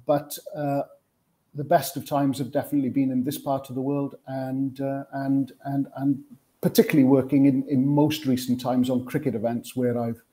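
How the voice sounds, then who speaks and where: A man's voice: mid-range voice, dark tone. A man in his fifties from Wales with a Welsh accent, recalling his career conversationally as an interview guest. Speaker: interview guest, television sports producer.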